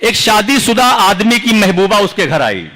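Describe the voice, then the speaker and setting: A man's voice: sits high, big pitch swings, a little slow, a crowd in the background. A man from India reciting his own verse at a poetry gathering, declaiming and repeating lines for effect. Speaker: poet at a recital. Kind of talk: reciting humorous and serious verse. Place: large outdoor poetry gathering.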